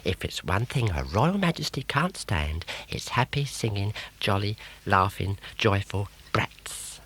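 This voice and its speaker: A man's voice: lowish voice, big pitch swings, measured pace, fairly bright tone, slight background noise, heavily acted. A man voicing a dim-witted, fawning crocodile servant with a hesitant, grovelling manner, for a children's story tape. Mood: dim, agreeing.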